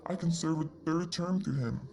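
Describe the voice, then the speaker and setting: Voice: mid-range voice, normal speed, darkish tone, natural voice. A performer playing a confident, commanding outgoing president in an amateur history puppet show. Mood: insistent.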